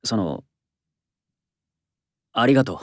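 An actor playing an earnest, reserved schoolboy in an anime audio drama, speaking softly and hesitantly. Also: male voice, on the low side, lively intonation, takes it slowly, no echo, clean studio sound. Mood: subdued, pleading.

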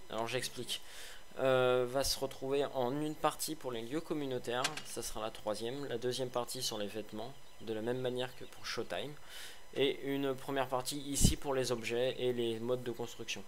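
Male game reviewer, slightly hoarse, rambling and sarcastic, mid-pitched, light background noise. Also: steady tone, moderate pace. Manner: chatty, rambling, many fillers and restarts; sarcastic asides. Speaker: gaming video host. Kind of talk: casual game review commentary.

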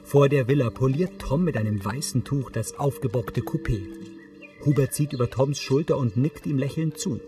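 A man's voice: medium pitch, normal speed, recorded from a distance, music in the background, natural voice. A man reading scripted scene descriptions as a neutral narrator for a television film.

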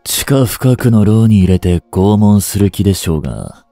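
A man in his twenties acting a proud, smooth-talking young prince exposed as a spy, with formal, pleading delivery in a dramatic voice drama. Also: on the low side, animated, moderate pace, mellow, dry recording, soft background music. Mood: weary, defiant. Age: 20s. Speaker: amateur voice actor and voice drama creator.

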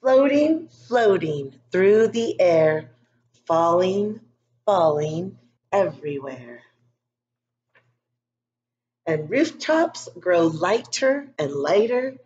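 A woman hosting a read-aloud for young children, reading a picture book expressively and deliberately with chatty asides. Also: on the low side, lively intonation, a little mellow, muffled recording. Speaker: school read-aloud host.

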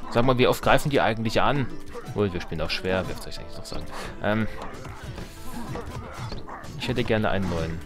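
Male Let's Play host, lowish voice, casual and colloquial game commentary with playful exasperation, music clearly behind him. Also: a little fast, long pauses. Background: video game music.

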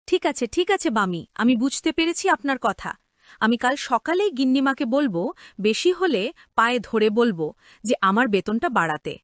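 A woman's voice: a little high, sing-song, normal speed, dry recording, studio quality. A woman voicing a humble, earnest young housemaid in a cartoon story, deferential and emotional. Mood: meek, pleading. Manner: deferential, emotional, wide-eyed.

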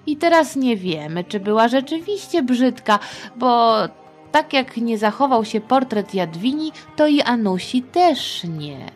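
A woman hosting a children's history podcast, telling the story in a playful, chatty, expressive manner. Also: a little high, unhurried, faint music behind.

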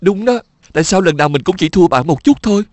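Someone doing a lazy cartoon schoolboy, kinda whiny and puzzled, middle pitch. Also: animated, fast talker, a little mellow, dry recording, close to an everyday voice. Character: chubby schoolboy, a bit lazy and envious. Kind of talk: cartoon dubbing.

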